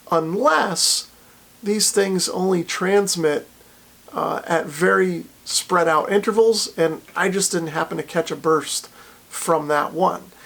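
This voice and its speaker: Guy doing a casual hobby vlog, kinda high voice with creaky fry, explains stuff informally as he figures it out. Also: fairly bright tone. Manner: informal, explanatory, thinking aloud. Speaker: vlogger, radio hobbyist.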